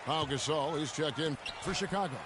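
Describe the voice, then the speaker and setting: A man playing a brisk, excitable TV play-by-play announcer in a basketball video game, making clipped calls. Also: fairly high pitch, a loud crowd, close to an everyday voice. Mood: businesslike. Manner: clipped, present-tense calls. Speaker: play-by-play commentator.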